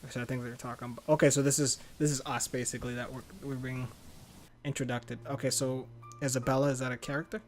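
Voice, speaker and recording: Even-toned man reading game text aloud. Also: medium pitch, quick pace, faint music behind. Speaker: let's play host. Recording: faint background noise.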